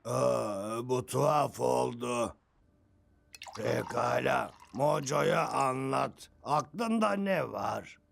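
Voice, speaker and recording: Very gravelly pompous cartoon villain monkey. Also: male voice, mid-range voice, extremely slow, faint music behind, full character voice. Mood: puzzled. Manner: pompous, speaks of himself in third person. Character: Mojo, vain scheming villain monkey with evil-genius bluster. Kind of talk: dubbed cartoon acting.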